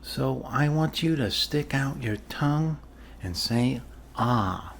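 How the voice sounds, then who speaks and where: A man doing an improvised ASMR role-play as a doctor, talking in a halting, deliberate, deadpan way to a patient. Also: medium pitch, vocal fry, slight background noise. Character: blunt, casually shady male family doctor reassuring a patient. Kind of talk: ASMR medical role-play, improvised personal-attention talk.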